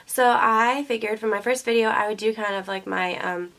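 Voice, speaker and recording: A young woman talks through her beauty favorites in a chatty, loose way, filling her sentences with "um" and "like." She sounds a little sniffly from a cold, with a voice that sits at an ordinary middle pitch. She speaks into her camera's own microphone. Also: moderate pace, fairly bright tone. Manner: chatty, many ums and likes. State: sniffly from a sinus infection. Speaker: beauty vlogger. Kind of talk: casual product favorites chat. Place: indoors, seated before a camera. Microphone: camera mic.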